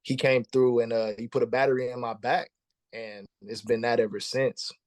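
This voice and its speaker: A man's voice: mid-range voice, level tone, moderate pace, a little mellow, dry recording, clean studio sound. A man in his thirties, a musician guest talking casually in an interview, laid-back and slangy, often repeating words as he starts a thought. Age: 30s.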